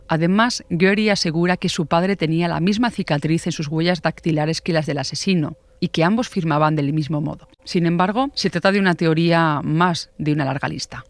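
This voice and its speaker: A man's voice: on the high side, no echo. Man narrating true crime, close dry sound. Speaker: podcast narrator.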